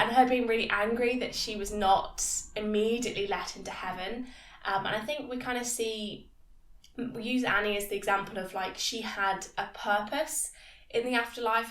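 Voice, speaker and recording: A woman's voice: mid-range voice, fairly bright tone. A woman with a slightly nasal voice from a cold, chattily giving a casual episode review as a video host. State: has a cold. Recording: echoey room, distant microphone.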